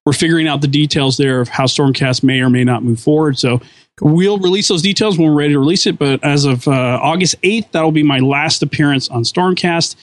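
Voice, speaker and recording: A man's voice: a little high, fairly quick, no echo. A podcast co-host on his last show, chatting casually and earnestly, fond of corny dad jokes.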